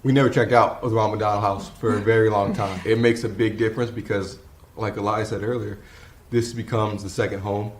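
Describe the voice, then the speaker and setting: A man's voice: on the low side, steady tone, brisk, hardly any pauses, roomy sound, slight background noise. A man in his twenties, reflective and earnest, sharing his family's story in a testimonial interview. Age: 20s.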